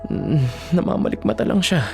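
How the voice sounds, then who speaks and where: Gravelly, earnest young Filipino man. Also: high voice, animated, moderate pace, darkish tone, faint music behind. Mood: defensive. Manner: earnest, protesting. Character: young working man, loyal, defensive boyfriend and son. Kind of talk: Filipino radio drama acting.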